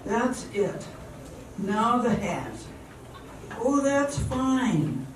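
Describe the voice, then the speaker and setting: A woman playing a romantic leading lady in an old-time radio drama, with slow theatrical delivery, picked up from a distance in a roomy space. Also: on the high side, big pitch swings, exaggerated voice. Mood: playfully bossy. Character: Hannah, lovelorn young woman turned playfully bossy sweetheart. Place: live amateur performance in a hall. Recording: roomy, distant stage mic with faint noise.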